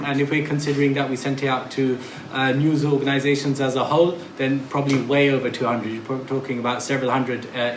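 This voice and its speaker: A man's voice: medium pitch, a little hiss or hum. Male moderator, businesslike, echoey hall. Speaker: moderator of the forum. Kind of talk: moderating a panel discussion.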